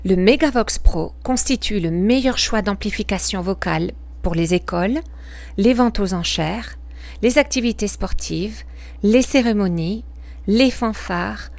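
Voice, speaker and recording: Measured woman narrating a product video. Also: mid-range voice, vocal fry, darkish tone. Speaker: promotional narrator.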